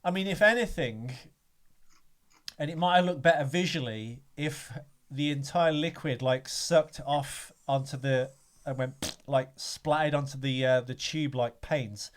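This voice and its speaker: A man's voice: mid-range voice, a little slow. A man co-hosting a podcast, chatting casually about a film, rambling with restarts and casual swearing. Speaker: podcast co-host.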